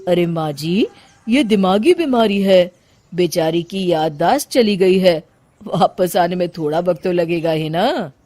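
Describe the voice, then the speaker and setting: A woman lowers her voice to play a young man posing as a doctor in a comic tale. He is placating and evasive, recorded without room echo. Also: a little slow, dark tone, faint music behind. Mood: soothing. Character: young male impostor posing as a reassuring doctor. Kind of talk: comic story dialogue.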